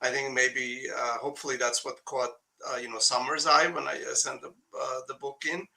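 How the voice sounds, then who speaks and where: Bright-voiced man with Russian accent. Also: mid-range voice, level tone, normal speed, creaky, rough recording. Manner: hesitant, many fillers and restarts. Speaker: poet guest. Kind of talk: casual conversation.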